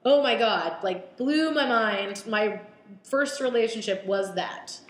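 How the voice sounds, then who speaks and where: A young woman in her twenties, a podcast guest talking candidly and haltingly, with lots of fillers and restarts. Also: on the high side, expressive. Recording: roomy echo.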